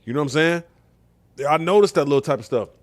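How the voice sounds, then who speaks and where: A man's voice: a little high, lively intonation, fast talker, dry recording. A middle-aged American man talking casually and bluntly as a show host, giving opinions in slangy, conversational speech with rhetorical questions. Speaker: comedian and talk show host.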